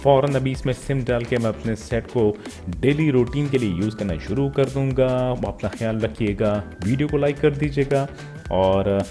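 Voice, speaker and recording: A man's voice: mid-range voice, normal speed, dark tone, faint music behind. A man giving an informal tech walkthrough as a vlogger, mixing English words and phrases into his speech. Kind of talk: informal phone update walkthrough narration.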